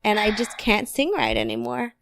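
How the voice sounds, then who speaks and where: A young woman with a raspy, worn voice chatting casually and animatedly as a podcast co-host. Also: medium pitch, very animated, moderate pace, fairly bright tone, dry recording, studio quality. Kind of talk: casual chat.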